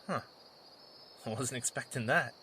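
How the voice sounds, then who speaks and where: A man's voice: mid-range voice, a little slow, bright, forceful tone, breathy edge, exaggerated voice. A man playing a cocky wolf drifter, a former warrior, in an audio drama, with a sarcastic, casual delivery. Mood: curious.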